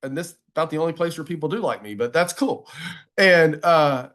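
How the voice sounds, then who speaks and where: A man's voice: fairly high pitch, lively intonation, fairly quick, fairly bright tone. A man giving a motivational advocacy talk, emphatic and folksy, often repeating phrases for weight. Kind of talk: motivational presentation to a group.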